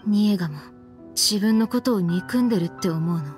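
Woman acting young anime game heroine, stern, frank, deliberate, medium pitch, music behind. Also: a little mellow, natural voice. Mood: firm reproach. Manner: firm, reproachful, deliberate.

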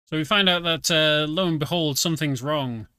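A man's voice: a little high, moderate pace, pushed and bright, clear, resonant tone, dry recording, clean studio sound. A man doing casual, informal live commentary as he plays a video game, explaining as he goes. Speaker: streamer, speedrunner. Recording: game music behind the voice. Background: video game music and vehicle sounds.

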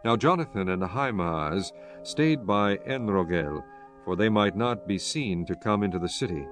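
Male narrator, lowish pitch, solemn scripture storytelling, measured delivery, soft music underneath. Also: lively intonation. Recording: faint music behind the voice.

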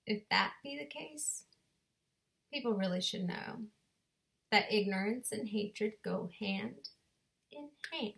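Woman slowly performing spoken word poetry. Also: mid-range voice, expressive, creaky, a bit bright, rough recording. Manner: slow, deliberate rhythmic delivery with dramatic pauses. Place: bedroom at home. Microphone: webcam at desk distance.

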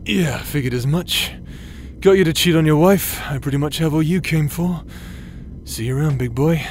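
A man's voice: fairly high pitch, normal speed, darkish tone, slight background noise, natural voice. A man playing a cocky, foul-mouthed young rogue in a fantasy audio drama, with a casual, sardonic way of talking. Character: cocky, foul-mouthed, teasing brother hiding vulnerability. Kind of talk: anime fantasy audio roleplay acting.

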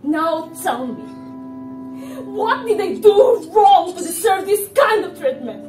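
A woman's voice: extremely high pitch, sing-song, takes it slowly, distant mic, heavily acted. A young woman playing a pleading, bitter jilted girlfriend in a stage play, theatrical and emotional. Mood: anguished, accusing. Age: young adult. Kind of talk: amateur stage play acting. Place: indoor living-room stage set. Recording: echoey stage from afar, music behind.

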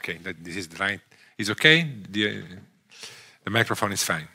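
Deep, bright-toned Italian man lecturing. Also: sing-song. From Italy. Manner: halting, many fillers and restarts. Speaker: guest lecturer. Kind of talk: academic lecture. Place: theatre stage. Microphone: headset.

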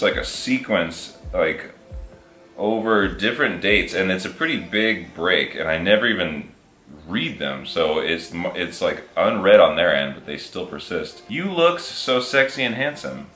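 A man's voice: low-pitched, animated, unhurried, bright, forceful tone. A man in his thirties chatting casually as a vlog co-host, blunt, joking and slangy, sometimes reading messages aloud. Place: small room indoors, on a couch. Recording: roomy echo, music underneath.

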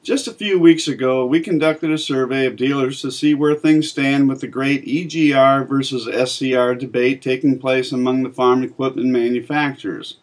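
Man reading a measured editorial. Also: medium pitch, steady tone. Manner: measured, plain reading to camera. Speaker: magazine editor. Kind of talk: scripted editorial commentary. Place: small office with bookshelf, indoors.

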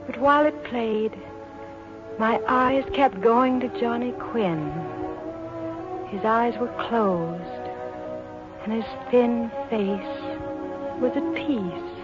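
Wistful, earnest woman, old radio drama. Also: a little high. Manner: wistful, halting, emotional delivery with dramatic repetition. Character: earnest mother recalling her troubled love for a hard man. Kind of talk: 1940s radio drama acting, intimate narration and dialogue. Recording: dull 1940s radio recording, music behind.

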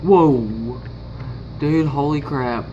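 Casting: young male gaming host, mid-pitched, exclamatory and teasing, noisy handheld amateur sound. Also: very slow, mellow, highs cut off. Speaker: video host. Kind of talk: casual gameplay commentary. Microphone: handheld phone or camera. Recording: noisy handheld amateur recording, constant hum.

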